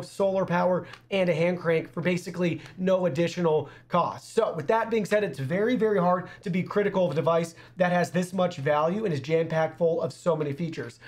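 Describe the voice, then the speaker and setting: Upbeat young man presenting a product review. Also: high voice. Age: young adult. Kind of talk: scripted-sounding product review presentation to camera. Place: small indoor studio.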